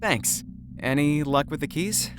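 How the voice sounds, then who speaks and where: Man voicing an earnest young man, animated and higher. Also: unhurried, faint music behind. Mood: eager, helpful. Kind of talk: visual novel voice acting.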